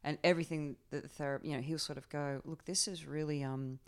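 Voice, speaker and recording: Woman, forties, smooth clear voice, sweary, animated comic storytelling. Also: low-pitched, brisk. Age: 40s. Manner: lively storyteller, swears, laughs often. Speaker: comedian guest. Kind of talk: casual podcast chat.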